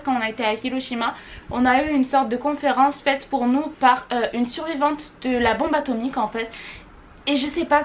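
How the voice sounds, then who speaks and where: Gushing young woman vlogging, slightly high. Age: young adult. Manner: gushing, repeats words for emphasis. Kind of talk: casual monthly recap vlog. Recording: faint background noise.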